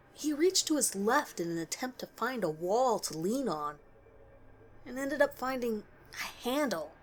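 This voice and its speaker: A woman's voice: mid-range voice, a little hiss or hum. A woman reading a scripted horror story as its narrator.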